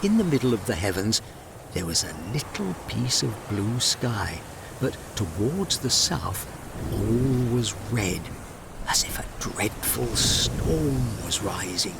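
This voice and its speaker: A man's voice: on the low side, very animated, slow pace, vocal fry, background noise. A man narrating a scripted fairy tale as a storyteller.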